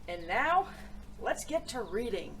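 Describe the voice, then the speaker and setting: A woman hosting a children's story show, reading aloud in an animated, expressive way. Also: fairly high pitch, slow, a little hiss or hum.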